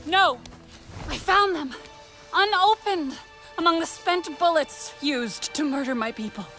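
Young alien woman, slightly high voice, determined, earnest, insistent, game drama, music underneath. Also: expressive, unhurried. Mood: pleading, defiant. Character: young determined female Na'vi, last of a massacred clan. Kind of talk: dramatic video game cutscene acting.

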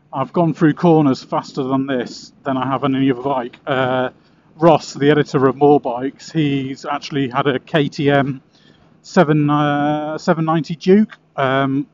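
A man chatting casually and enthusiastically as he reviews motorcycles while riding, speaking into a helmet intercom. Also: mid-range voice. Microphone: helmet intercom camera mic. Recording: muffled helmet intercom with road noise.

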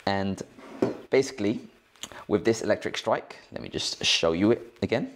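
A man's voice: fairly low pitch, expressive, moderate pace. A man hosting a tech tutorial in a casual, informal, slangy way, explaining things step by step. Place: indoors at a cluttered work desk.

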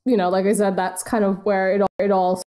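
American woman, podcast co-host, mellow tone, informal conversational delivery. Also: medium pitch, little pitch movement, fairly quick. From United States. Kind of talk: casual podcast chat.

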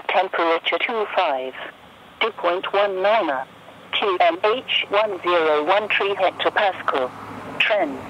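A high-voiced man delivers an airport information broadcast over aviation radio. He speaks in clipped, segmented phrases with a formulaic delivery. Faint noise sits under the voice. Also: sharp tone, dull audio. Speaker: automated airport information announcer.

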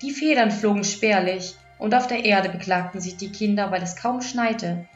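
An echoey, slightly dull recording of a woman telling a children's fairy tale. She reads it as an audiobook storyteller, at a middle pitch, with music behind her. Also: normal speed.

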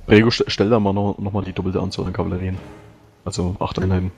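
Player, male, rapid delivery, low voice, quick restarts, faint music bed. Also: flat delivery, vocal fry, darkish tone. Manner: quick, restarts often, agreeable. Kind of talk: tabletop strategy discussion.

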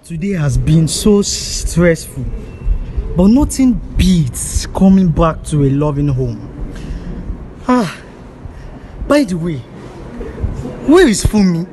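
A complaining Nigerian husband, played by a young man, his delivery very slow and his pitch bouncing up and down. The voice sits a little high for a man. Light room noise runs underneath. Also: very animated, warm tone, poor audio. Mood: pleased. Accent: Nigerian English. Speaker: stage actor. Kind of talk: live stage drama. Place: theatre stage, large hall.

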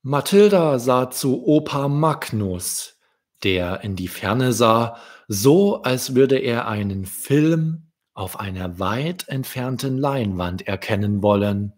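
A man's voice: mid-range voice, lively intonation, slow pace. A man in his thirties from Germany reading his own children's story as a narrator, close to a microphone. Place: small wood-panelled room indoors. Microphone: desk microphone close in front.

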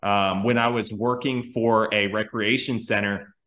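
A man's voice: fairly low pitch, steady tone, measured pace, a bit bright, roomy sound. A man in his thirties, a strength coach, explaining training ideas in casual talk on a video call, with frequent restarts and fillers. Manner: thoughtful, many restarts and fillers. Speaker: strength coach, podcast co-host. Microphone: video call webcam.

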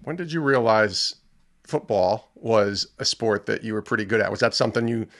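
A man's voice: on the low side, moderate pace, a bit bright. A middle-aged man hosting a podcast from a home studio, asking questions in a conversational way and checking in with tag questions. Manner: friendly, prompting questions with "yeah" and tag questions. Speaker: podcast host. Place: indoors, home studio with posters behind. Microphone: headset or desk mic on a video call, headphones on.

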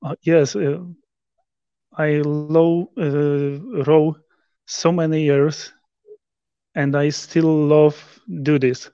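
Slow, flat-voiced Polish man. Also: fairly high pitch, clear, resonant tone, dry recording, dull audio. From Poland. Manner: halting non-native English with many restarts and fillers. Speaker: interview guest, rower. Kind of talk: casual video call interview. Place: living room at home. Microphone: video call.

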